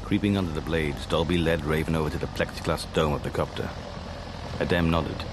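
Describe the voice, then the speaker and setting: A very low-pitched British man as a wry spy telling his own story. He is dry and deadpan, in a radio drama with clear noise behind him. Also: brisk. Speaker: first-person narrator. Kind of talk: radio drama narration and dialogue.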